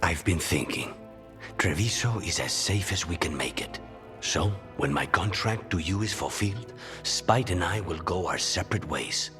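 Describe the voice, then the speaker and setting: An actor playing a brooding, demon-possessed assassin in a video game, grave and measured, his phrases sometimes falling hushed. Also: low-pitched, lively intonation, over music. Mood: guarded, resolute. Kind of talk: video game cutscene acting.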